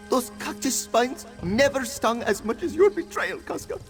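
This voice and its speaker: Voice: high-pitched, big pitch swings, slow pace, faint music behind, exaggerated voice. An actor voicing a bickering, dramatically indignant cat-folk sneak in a video game, with a theatrical, needling delivery. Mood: wounded. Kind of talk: video game character dialogue.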